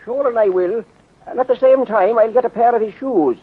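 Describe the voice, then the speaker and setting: An actor playing an eager, blunt police sergeant sidekick in a 1930s radio serial, plain-spoken and often hesitating or exclaiming. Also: male voice, extremely high pitch, a little fast. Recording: muffled, rough 1930s radio recording.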